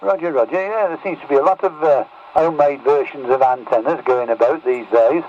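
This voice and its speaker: A man's voice: medium pitch, brisk, a little mellow. A man, a radio operator, chatting casually over a CB radio transmission. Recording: muffled, very noisy CB radio.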